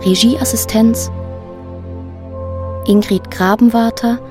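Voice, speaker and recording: A woman announcing for a radio drama, reading scripted credits in a measured, matter-of-fact way. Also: a little high, steady tone. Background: sad violin music.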